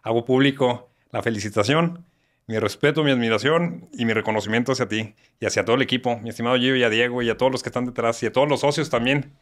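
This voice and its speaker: A man's voice: mid-range voice, fairly even intonation, quick pace. A man in his forties with a Mexican accent, a guest on a podcast, speaking earnestly with fillers. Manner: earnest, warm thanks, fillers like "este". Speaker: guest, notary. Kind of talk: podcast interview. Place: studio. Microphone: studio mic on boom arm.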